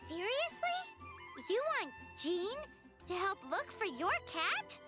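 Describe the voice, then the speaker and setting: Tiny cheeky anime game sidekick, super high squeaky voice, bouncing all over, blunt and indignant. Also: female voice, very animated, slow pace, heavily acted. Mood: exasperated. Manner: refers to self in third person, exclamatory, indignant. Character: tiny cheeky female fairy-like companion, childish, blunt. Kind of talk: anime video game voice acting. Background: music.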